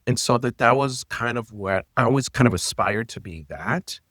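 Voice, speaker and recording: A man in his late thirties, a native Haitian Creole speaker, telling his story in an interview in an expressive, personal way with loose phrasing. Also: medium pitch, normal speed, no echo, studio quality. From Florida, United States. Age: late 30s. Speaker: guest, intimacy coordinator and teacher.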